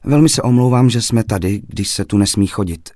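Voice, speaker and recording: A gentle, courteous young woman in a storybook reading, as a male narrator puts her on. Her voice sits higher than his and stays soft and polite. The recording is close and dry. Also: no room sound, natural voice.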